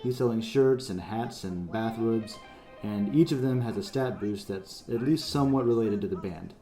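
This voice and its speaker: A man's voice: on the low side, moderate pace, warm tone, faint music behind. A man presenting his own project in casual, conversational promotional narration. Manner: informal, conversational.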